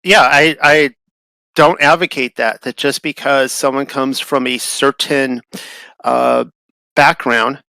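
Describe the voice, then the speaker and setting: A man's voice: medium pitch, fairly even intonation, slow pace, vocal fry, bright, forceful tone, clear, resonant tone, dry recording. A man talking in a casual, rambling way, often restarting and repeating words as a guest. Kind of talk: casual conversational talk.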